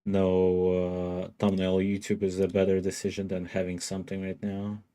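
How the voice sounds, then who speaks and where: A man's voice: low voice, completely flat delivery, moderate pace, dark tone. A man streaming a game, thinking aloud in a rambling, casual way and laughing often. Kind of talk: casual gaming livestream chatter.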